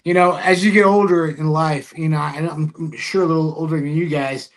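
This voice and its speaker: A man's voice: fairly high pitch, steady tone, brisk. A man whose first language is Spanish, a guest on a casual sports podcast over a video call, gushing with thanks and joking around.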